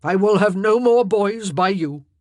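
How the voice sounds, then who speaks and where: A woman's voice: mid-range voice, lively intonation, unhurried, a little mellow, no echo, voice pushed up, lightly acted. A woman voicing a self-pitying middle-aged king, soothing one moment and icy the next, in a dry, close recording. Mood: regal.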